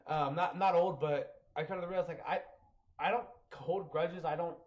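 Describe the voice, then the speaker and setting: Man, late twenties, halting, self-deprecating, casual chat, somewhat high voice, muffled recording. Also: a little mellow. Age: 20s. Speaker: podcast host, former wrestler. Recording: dull lo-fi sound.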